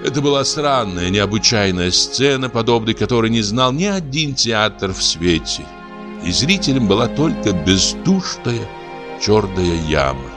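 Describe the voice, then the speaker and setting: A man reading literary fiction as a dramatic audiobook narrator. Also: lowish voice. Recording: music under the narration. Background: music.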